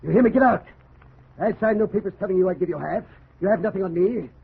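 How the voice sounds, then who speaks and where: An actor playing a short-tempered, domineering planter husband in an old-time radio drama, blustering and uneasy, on a thin, noisy vintage recording. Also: male voice, high voice, fast, vocal fry, phone-quality sound. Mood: angry, commanding. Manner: blustering, barking orders.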